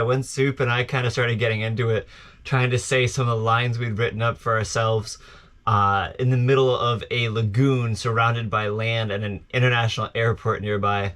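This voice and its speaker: A man's voice: medium pitch, a bit bright. A man chatting casually to viewers as a sailing vlogger, rambling informally and poking fun at himself. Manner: informal and rambling, self-deprecating. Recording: outdoor recording with clear background noise.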